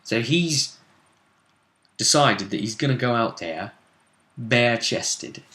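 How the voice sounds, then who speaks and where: A man commentating as host on a fantasy role-play tournament, with deliberate, halting phrasing. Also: medium pitch, animated, pushed and bright. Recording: roomy, echoing sound.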